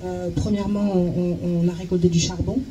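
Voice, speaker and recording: A woman working as a consecutive interpreter at a talk, halting and searching for words as she translates. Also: fairly low pitch, little pitch movement, warm tone, lo-fi sound, faint music behind.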